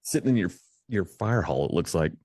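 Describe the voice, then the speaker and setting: Deep-voiced male podcast host. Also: expressive, fairly quick, a little mellow, slightly rough, no echo, clean studio sound. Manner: rambling, restarts, upbeat hype. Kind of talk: casual video-call podcast chat.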